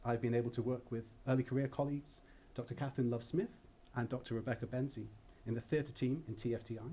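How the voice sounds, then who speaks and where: A man giving a formal, prepared introductory speech as an academic colleague, in a measured and articulate way. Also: mid-range voice, mellow, breathy edge. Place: lecture hall at a university event.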